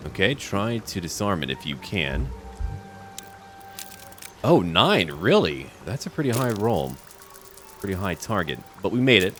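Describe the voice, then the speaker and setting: A man giving casual let's-play commentary, thinking aloud in a chatty, relaxed way and calling out to his party. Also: low-pitched, unhurried, pauses often, soft background music. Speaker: gamer playing a role-playing game.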